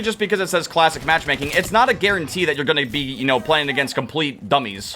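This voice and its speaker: Young male gaming creator, lively and rapid, bright edgy tone, worn voice, faint noise behind. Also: medium pitch. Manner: excitable, quick to rage and laugh. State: losing his voice. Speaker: gaming youtuber. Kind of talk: live gameplay commentary.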